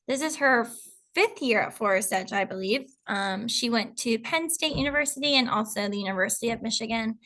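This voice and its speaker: A woman's voice: fairly high pitch, fairly quick, a bit bright. A woman kindergarten teacher, introducing colleagues and explaining the curriculum to parents, working through lists with frequent ums. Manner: reads through lists with fillers. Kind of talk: presenting a school info video.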